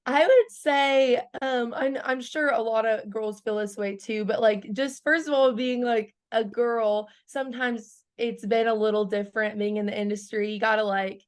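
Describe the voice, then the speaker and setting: A woman's voice: on the high side, steady tone, moderate pace, few pauses. Young woman, chatty and giggly. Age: 20s. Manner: bubbly, laughs often, lots of "like" fillers. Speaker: country singer guest. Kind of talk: casual interview chat.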